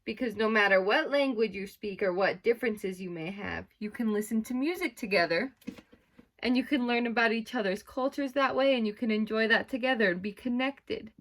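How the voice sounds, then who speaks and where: A woman with a fairly high voice speaks as an elementary school teacher. She leads a read-aloud lesson in simple instructional phrases, guiding her young pupils with questions. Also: fairly quick.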